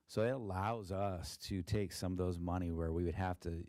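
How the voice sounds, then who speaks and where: A man, a school district treasurer, presenting a budget at a board meeting in a monotone with many fillers and restarts, with an Ohio accent. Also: low-pitched, normal speed, no room sound, studio quality. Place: meeting room.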